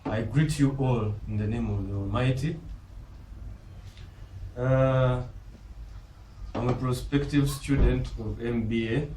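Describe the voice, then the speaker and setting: A man with a Ugandan accent giving a formal, earnest, deliberate self-introduction as a business school applicant. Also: medium pitch, fairly even intonation, dark tone. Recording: roomy, distant mic, faint background noise.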